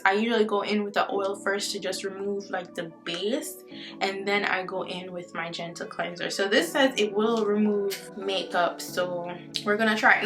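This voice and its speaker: A young Jamaican woman in her twenties talks straight to her viewers in a chatty, upbeat vlog voice. Her pitch sits mid-range, and a faint music bed plays under her. She is recorded at home on the camera's own mic. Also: a bit bright. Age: 20s. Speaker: beauty vlogger. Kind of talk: casual skincare vlog. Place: indoor room at home, not a bathroom.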